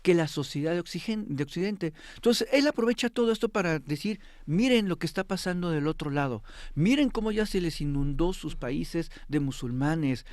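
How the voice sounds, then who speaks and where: A man's voice: fairly high pitch, animated, moderate pace, a little mellow, no echo. A man speaking as an expert guest in a studio interview, explaining with emphasis and often checking that the listener follows. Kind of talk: interview commentary on politics.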